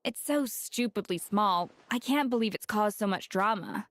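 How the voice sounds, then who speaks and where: A woman's voice: mid-range voice, expressive, moderate pace, a bit bright, dry recording. A woman playing a proud, exacting young chef in an anime game, self-assured and impatient. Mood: exasperated.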